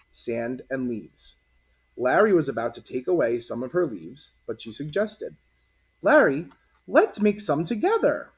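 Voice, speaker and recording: A man reading a children's picture book aloud as narrator, in an expressive, deliberate storytelling manner. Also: medium pitch, breathy edge. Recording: dull lo-fi recording.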